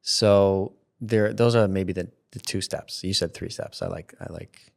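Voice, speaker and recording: A man's voice: low voice, fairly even intonation, a little slow, gravelly. A man in his thirties talking casually as an interview guest, rambling and restarting his sentences, often laughing. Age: 30s. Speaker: content creator guest. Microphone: studio mic with headphones.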